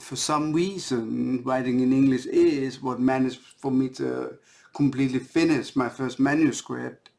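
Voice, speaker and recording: A Danish-accented man speaks slowly, with plenty of gravelly fry in his voice. He is an author talking informally about his own work, and he halts and circles back over phrases as he looks for the right word. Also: mid-range voice. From Denmark. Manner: halting, searching for words, repeating phrases. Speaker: indie author.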